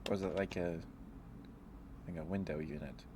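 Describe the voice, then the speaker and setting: Male ASMR streamer, lower register, gentle drowsy casual delivery, close mic with clear background noise. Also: steady tone, normal speed. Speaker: live streamer. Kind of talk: rambling ASMR livestream chat.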